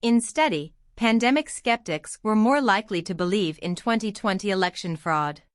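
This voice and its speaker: Female reader for scripted exam practice passages; medium pitch, very little room sound. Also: lively intonation, normal speed, no room sound, studio quality. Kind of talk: scripted read-aloud of study passages.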